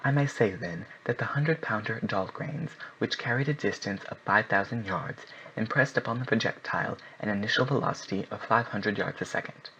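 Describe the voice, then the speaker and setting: A man's voice: on the low side, brisk, pushed and bright. A man reading as a proud veteran general. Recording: muffled with faint hiss.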